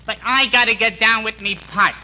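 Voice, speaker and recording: An actor playing an aggrieved, dutiful army mess sergeant with folksy, rough grammar in a 1940s radio comedy. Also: male voice, high voice, normal speed, close to an everyday voice. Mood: plaintive, dutiful. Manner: plaintive, folksy, uneducated grammar. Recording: old radio recording with faint noise.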